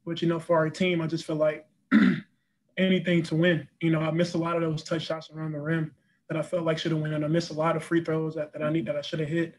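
An earnest young man answers post-game questions over a video call from a room in front of a press backdrop. His voice sits a little high and stays flat, and he leans on "you know" and repeated words. A faint hiss sits under the call. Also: fairly quick, rough recording. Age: young adult. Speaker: college basketball player.

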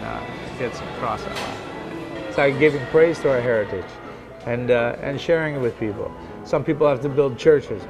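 A middle-aged man from Canada who runs a coffee shop, talking candidly in an interview inside his cafe. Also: mid-range voice. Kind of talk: casual interview.

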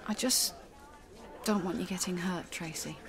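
A woman's voice: fairly low pitch, a little slow. Sceptical, blunt woman friend. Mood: challenging, protective. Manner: pointed, questioning, dry. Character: sceptical, protective, blunt-spoken female friend. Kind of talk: naturalistic radio drama acting.

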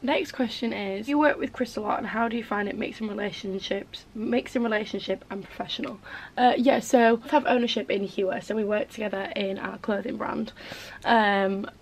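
A woman's voice: mid-range voice, steady tone, moderate pace, slight background noise, low-quality recording. A woman in her twenties who is losing her voice, chatting casually through a get-ready-with-me video in her bedroom, rambling with lots of "like" and "um". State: losing her voice, a bit hoarse. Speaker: beauty vlogger. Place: bedroom, indoors.

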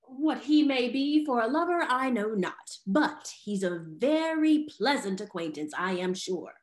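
A woman playing a poised, frank young gentlewoman who gossips, in a stagey Restoration comedy reading. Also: on the high side, animated, measured pace. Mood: poised, curious. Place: indoor home room on a video call.

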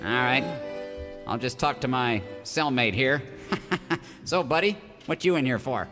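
An actor playing a scheming, exasperated, grumbling western villain in a parody of an old radio melodrama. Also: male voice, medium pitch, a little slow, sharp tone. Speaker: actor in comic radio serial. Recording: echoey stage hall with music.

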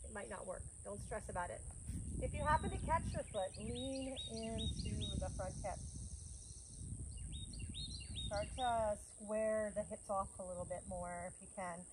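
A woman in her thirties guiding a yoga class outdoors on a farm field, giving calm, encouraging cues between breaths. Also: medium pitch, moderate pace. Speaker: yoga teacher. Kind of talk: guided yoga class instruction. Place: outdoors on a grassy farm field under trees. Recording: faint outdoor noise, crickets. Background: faint crickets and country outdoor sounds.

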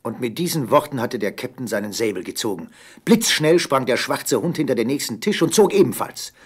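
A man with a husky voice giving scripted narration for an audio drama. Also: medium pitch, expressive, brisk, a little hiss or hum.

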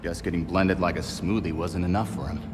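A man's voice: sits low, brisk, noisy background, close to an everyday voice. A young man voicing a blunt, sarcastic vigilante hero in a game cutscene. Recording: echoey cutscene hall with loud music.

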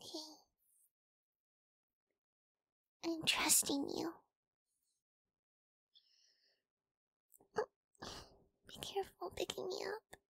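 A woman playing a timid, sweet, wary young wolf girl in an ASMR roleplay, speaking softly and hesitantly, often in whispers, close to the microphone. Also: extremely high pitch, fairly quick, vocal fry, no room sound, exaggerated voice. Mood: sleepy, trusting. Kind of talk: ASMR girlfriend audio roleplay.

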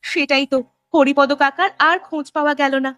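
A woman's voice: high voice, fairly even intonation, normal speed, fairly bright tone, no room sound. A woman voicing an unhappy village wife who speaks anxiously in a cartoon drama. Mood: anxious, pleading. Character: unhappy village wife secretly meeting her lover.

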